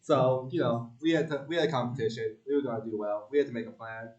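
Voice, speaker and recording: A man's voice: medium pitch, fast, distant mic, dull audio. Young man, casual presenter, joking, filler-heavy, echoey room, off-mic. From Long Island, New York. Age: young adult. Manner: relaxed, joking, many ums. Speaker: student presenter.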